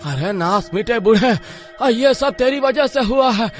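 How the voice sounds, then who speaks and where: A man's voice: extremely high pitch, animated, measured pace, faint music behind. A man playing panicky, cursing villagers in a horror story, exclaiming melodramatically. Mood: alarmed scolding. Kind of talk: exaggerated Hindi story character acting.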